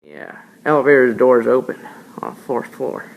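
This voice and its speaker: An amateur reading a novel aloud in a halting, informal way, on a noisy home recording. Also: mid-range voice, vocal fry. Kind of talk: amateur read-along narration of a novel.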